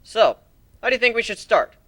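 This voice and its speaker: A man giving an expressive, theatrical first-person narration as an amateur dramatic story reader. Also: high voice, brisk, dry recording.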